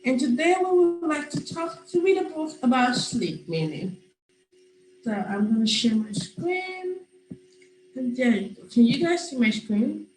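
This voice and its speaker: A woman's voice: high voice, sing-song, measured pace, a little mellow. Young woman reading a rhyming picture book aloud. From Nigeria. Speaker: public health student volunteer. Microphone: video call. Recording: roomy video-call audio with faint hiss.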